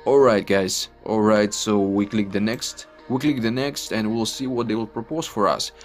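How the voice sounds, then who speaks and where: A young man in his twenties with a Ukrainian accent talks in non-native English with a lowish voice. He is chatty and repetitive as he walks viewers through a screen recording. Faint music plays under him. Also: steady tone, moderate pace. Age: 20s. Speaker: online earning vlogger. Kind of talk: casual screen-recorded walkthrough.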